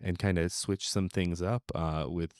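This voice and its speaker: A man's voice: deep voice, steady tone, a little slow, darkish tone, smooth, no room sound, clean studio sound. A man co-hosting a podcast, talking casually and without a script. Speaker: podcast co-host. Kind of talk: casual, unscripted podcast chat.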